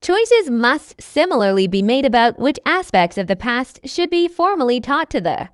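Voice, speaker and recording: A woman reads prepared text aloud in a very high voice with lively, swinging intonation. Her delivery is measured and instructional, and the sound is dry and close. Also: clear, resonant tone, no room sound. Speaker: narrator of English test practice passages. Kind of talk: scripted read-aloud.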